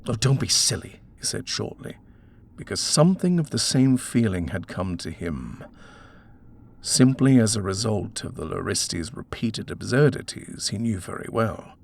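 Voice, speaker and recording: A man's voice: fairly low pitch, lively intonation, moderate pace, vocal fry, darkish tone. A man reading a horror story as a dramatic audiobook narrator.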